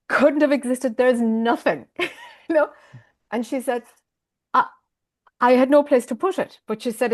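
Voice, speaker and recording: A woman's voice: mid-range voice, a little mellow. A woman in her fifties with an Irish accent, a spiritual teacher talking candidly and rambling a little as a guest on a video call interview. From Ireland. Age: 50s. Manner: rambling, candid, laughs often. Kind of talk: conversational interview.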